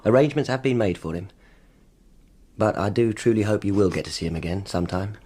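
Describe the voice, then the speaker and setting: A man playing a dry, knowing English police sergeant in an audio drama, husky and formally sardonic. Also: on the low side, a little fast, a little mellow. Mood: sympathetic.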